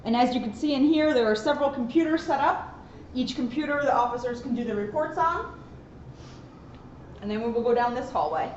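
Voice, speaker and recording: High-voiced woman guiding a tour, roomy sound. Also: fairly quick, a little mellow. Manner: plain, explanatory, addressing viewers directly. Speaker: police officer giving a station tour. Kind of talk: informal guided tour narration. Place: indoor rooms and hallways of a police station. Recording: roomy, muffled, noisy, distant camera microphone.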